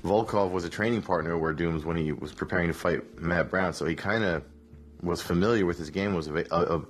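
Hungover man with a low voice. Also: fairly quick, faint music behind. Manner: relaxed, rambling, many fillers and restarts. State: hungover, rough voice. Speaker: podcast host, MMA analyst. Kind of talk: casual solo podcast recap.

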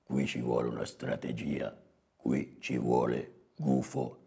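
A man voicing a gruff, domineering male hornet boss in a storybook fable, with a growling, emphatic delivery. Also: very animated, slow, dark tone, full character voice. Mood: pompous, decisive. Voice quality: very deep growl. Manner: barks curt orders, emphatic.

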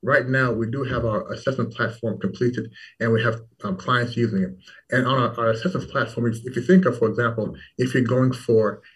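A man's voice: mid-range voice, fairly even intonation, brisk, breathy edge, distant mic. A man from Toronto, Louisville and Washington DC, talking casually as an interview guest and repeating words for emphasis. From Toronto, then Louisville, Kentucky and Washington DC. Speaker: startup founder as guest.

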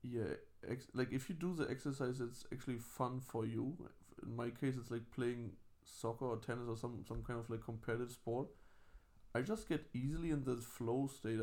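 A man from Germany with a German accent, chatting casually as a podcast co-host in a relaxed, halting way. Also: medium pitch. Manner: relaxed, halting, restarts words. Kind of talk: casual chat.

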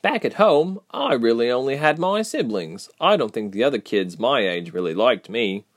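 A man's voice: mid-range voice, very animated, normal speed, pitched above the performer's own voice. A man voicing a bold, impulsive young woman adventurer in an audiobook, emphatic and insistent but loyal. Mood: earnest. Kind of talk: audiobook character voice.

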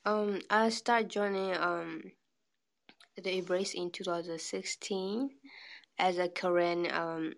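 A woman from Burma, an interpreter who talks about her work softly and hesitantly. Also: on the low side, steady tone, slow, creaky. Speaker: interpreter and community liaison.

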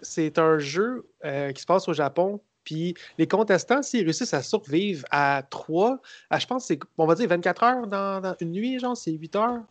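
A man with a Québécois accent chats on a podcast, recapping and mocking a film with dry sarcasm. He rambles and halts as he goes. His voice sits a little high, and the recording is close and dry. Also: expressive, no room sound. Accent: Québécois French. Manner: sarcastic, rambling, halting storyteller. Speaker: podcast co-host. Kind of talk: casual podcast chat about films.

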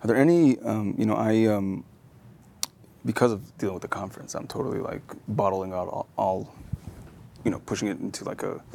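A man hosting a podcast, thinking aloud in halting, searching sentences full of fillers and restarts. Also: fairly low pitch, steady tone, gravelly, mellow, slight background noise. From Israel. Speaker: podcast host. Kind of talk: reflective conversational interview.

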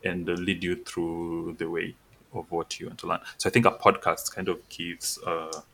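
Man, podcast co-host, very low pitch, forceful tone, halting delivery. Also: little pitch movement, slight background noise. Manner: hesitant, many "uh" and "kind of" fillers. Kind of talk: casual chat.

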